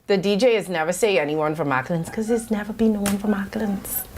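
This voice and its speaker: A woman's voice: mid-range voice, background noise. A Bahamian woman co-hosting a call-in radio show, playful and teasing in casual banter. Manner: playful, teasing, quick to laugh.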